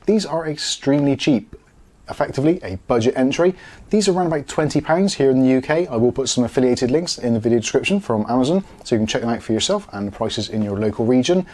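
A British man in his forties presents a casual unboxing review. He talks quickly at a medium pitch, explaining things in a chatty, step-by-step way. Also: darkish tone. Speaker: host of a product review channel. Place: small home studio room, indoors.

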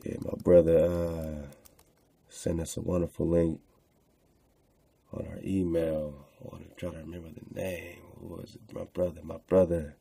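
Male online host, deep gravelly register, slangy, repetitive, unscripted casual commentary. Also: little pitch movement, moderate pace, warm tone. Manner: rambling, repeats phrases, slangy "man" and "you know". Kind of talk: casual unscripted commentary while browsing on screen.

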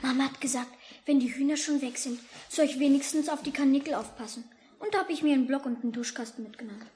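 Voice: high-pitched, fast talker, a little mellow, lightly acted. A performer playing an eager, matter-of-fact young child in a 1950s German radio play. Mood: eager, indignant. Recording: faint noise, old radio recording.

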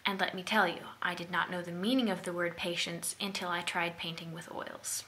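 Bright-voiced young woman giving a speech. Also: on the low side, steady tone, fairly quick, a little hiss or hum. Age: young adult. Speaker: keynote speaker. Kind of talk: prepared speech to camera. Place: small indoor room at home. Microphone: webcam at close range.

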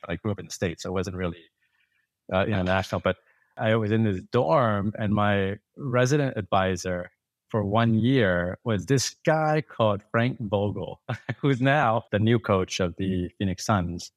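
Low-voiced man telling stories, dry sound. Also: moderate pace, dry recording. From Thailand. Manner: rambling, many restarts and fillers. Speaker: guest. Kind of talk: casual interview storytelling.

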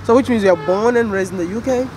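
A man's voice: high-pitched, fast, over music. A Ghanaian man hosting a casual interview outdoors, asking teasing, playful questions. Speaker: travel vlogger host. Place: outdoor garden patio.

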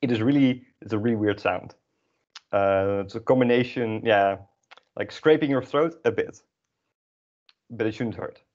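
A man's voice: on the low side, moderate pace, long pauses, darkish tone. A young man from the northern Netherlands with a Dutch accent, teaching a language class informally in a rambling, hesitant, self-deprecating way. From Friesland, northern Netherlands. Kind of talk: informal online lesson, explaining off the cuff. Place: online video call. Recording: dull, lo-fi video call audio.

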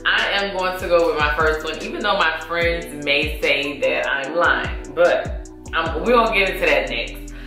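A woman's voice: sits low, unhurried, sharp tone. Chatty woman in an echoey room. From Louisiana. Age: 30s. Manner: chatty, addresses viewers as y'all. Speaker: beauty vlogger. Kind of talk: casual chat while doing makeup. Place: small indoor room against a plain wall. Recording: very echoey room with music behind.

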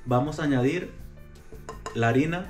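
A man's voice: fairly high pitch, moderate pace, a little mellow. A man in his thirties hosting a home cooking video, explaining a recipe step by step in a casual way. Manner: instructive, walks viewers through steps. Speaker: cooking show host. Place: home kitchen, indoors. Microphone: handheld action camera. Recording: music playing underneath. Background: background music.

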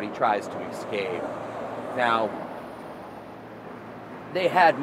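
A man in his thirties leading an outdoor walking tour, telling history as a story with lots of fillers and restarts. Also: medium pitch. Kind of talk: casual guided history talk. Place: outdoors on a city street beside a prison wall. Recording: outdoor street with traffic noise.

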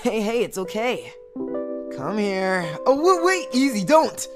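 A woman's voice: mid-range voice, sing-song, a little slow. A woman voicing an earnest, awkward teenage boy, stammering and caring, in a cartoon comic dub. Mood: concerned, soothing. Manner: stammering, reassuring. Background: background music.

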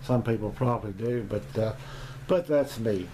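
A man's voice: lowish voice, mellow. A middle-aged or older man giving a casual, unscripted equipment review as a hobbyist, rambling and hesitating often. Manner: halting, rambling delivery with long hesitations. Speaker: amateur radio hobbyist presenting his equipment. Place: small indoor home radio room. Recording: noisy home camera recording.

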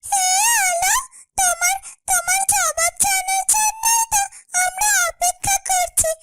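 A woman voicing a tiny, watchful guardian in a fairytale cartoon, with a drawn-out, soothing delivery. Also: extremely high pitch, steady tone, over music. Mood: reassuring. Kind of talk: dramatic fairytale cartoon dubbing.

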